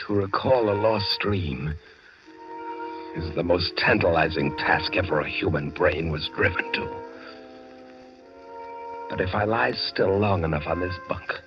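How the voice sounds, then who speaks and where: Condemned man played by a male actor, brooding and theatrical, lower register, forties radio drama, muffled sound, underscored by music. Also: close to an everyday voice. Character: anguished condemned man, a feverish amateur sleuth in his cell. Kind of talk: 1940s radio drama acting. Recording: dull vintage 1940s radio, music behind.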